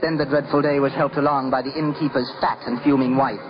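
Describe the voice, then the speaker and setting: A man's voice: mid-range voice, flat delivery, brisk. A man narrating a scripted story in 1950s radio style, theatrical and lyrical with dramatic emphasis. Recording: dull old radio recording. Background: prominent music.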